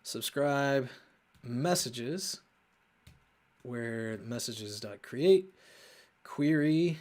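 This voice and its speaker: Slow-paced man thinking aloud while coding. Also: medium pitch, lively intonation, a little mellow. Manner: halting, muttering to himself while working. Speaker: host of a coding show. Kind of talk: casual live coding walkthrough, thinking aloud.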